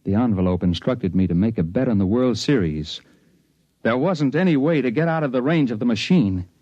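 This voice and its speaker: A man's voice: medium pitch, lively intonation, brisk, no room sound, natural voice. An actor playing a wary hired man caught up in time travel, in 1950s radio drama style. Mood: grim, tense recounting. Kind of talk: 1950s radio drama acting, first-person narration. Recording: muffled 1950s radio recording, loud music.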